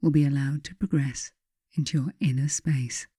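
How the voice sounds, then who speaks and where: Woman doing a guided self-help reading, mellow and dark tone, really dry close sound, pauses a lot. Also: low-pitched, steady tone, husky, dry recording. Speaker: author reading own self-help book.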